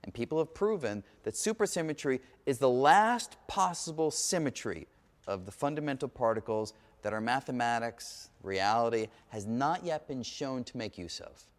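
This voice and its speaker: A man giving an explanatory science lecture in halting, emphatic phrases, as a lecturer would. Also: mid-range voice. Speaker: physics lecturer. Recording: echoey hall reverb.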